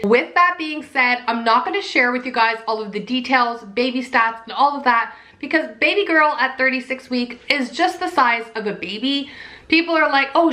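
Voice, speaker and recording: A young woman vlogging to camera, chatty and bubbly, her voice raspy and nasal from being sick. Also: on the high side, bright, forceful tone. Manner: bubbly, chatty, repeats "super". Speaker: pregnancy vlogger. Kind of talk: casual chat to camera.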